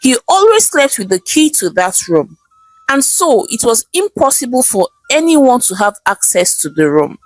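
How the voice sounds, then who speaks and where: A woman with a Nigerian accent narrating a scripted folktale in a dramatic, expressive storytelling manner. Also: fairly high pitch, normal speed, dry recording, soft background music, low-quality recording.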